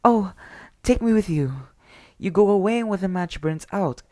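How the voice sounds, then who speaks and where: A man's voice: sits high, animated, unhurried, mellow, dry recording, pitched above the performer's own voice. A man voicing a poor little girl from a storybook, earnest and imploring. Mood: pleading. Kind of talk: storybook character voice.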